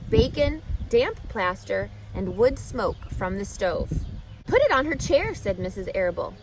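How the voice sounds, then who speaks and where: A woman reading a children's novel aloud with expressive, animated narration. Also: fairly high pitch, slight background noise, rough recording. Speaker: reader. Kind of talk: storybook read-aloud.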